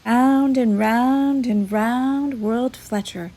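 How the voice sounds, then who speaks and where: A woman reading a children's story aloud in an expressive storyteller's style, with music behind her. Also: fairly high pitch, takes it slowly.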